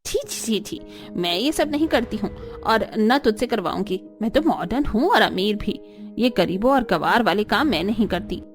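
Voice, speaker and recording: A woman's voice: mid-range voice, animated, normal speed, soft background music, natural voice. A woman playing a rich, snobbish middle-aged mother-in-law in a cartoon story, boastful and haughty. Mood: disdainful. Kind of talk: cartoon story dialogue acting.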